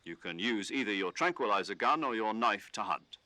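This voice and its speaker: A calm commanding officer. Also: male voice, fairly low pitch, dry recording. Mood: steady. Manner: measured, instructive briefing tone. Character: calm British male commanding officer on the radio. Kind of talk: game dialogue.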